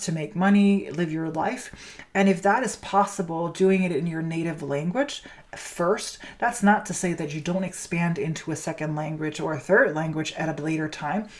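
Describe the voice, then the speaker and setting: Danish woman coach, native Danish, low-ish voice, earnest monologue full of ums and restarts. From Denmark. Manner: hesitant, many fillers and restarts; earnest, encouraging. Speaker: spiritual business coach. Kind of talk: solo podcast monologue.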